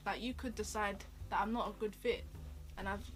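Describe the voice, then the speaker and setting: A woman's voice: mid-range voice, steady tone, brisk, music in the background. A woman co-hosting a talk in a casual chatty style, chiming in to clarify and restate points. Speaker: co-host. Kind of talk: casual chat.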